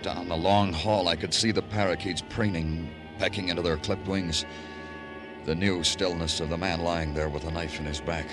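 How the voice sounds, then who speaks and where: Man playing a low, world-weary radio detective. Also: steady tone, normal speed, loud music behind the voice, natural voice. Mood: brooding. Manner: terse in talk, moody poetic narration. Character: world-weary, brooding male New York police detective. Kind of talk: 1940s-50s radio noir acting.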